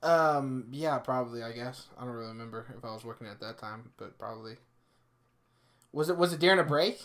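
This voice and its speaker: A man's voice: medium pitch, brisk, fairly bright tone. A young man, a podcast co-host, teasing and chiming in during casual chat.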